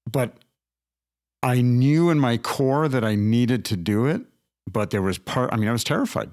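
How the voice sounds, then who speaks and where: A man, a guest, telling his own story haltingly and candidly, with many restarts. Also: mid-range voice, expressive, darkish tone, dry recording, studio quality. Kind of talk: personal storytelling.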